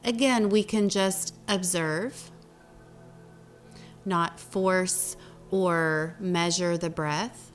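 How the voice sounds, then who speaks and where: Slow-paced woman guiding a meditation. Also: on the low side, fairly even intonation. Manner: unhurried, gentle guiding with long pauses between phrases. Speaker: meditation teacher and guide. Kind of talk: guided meditation. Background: meditation music.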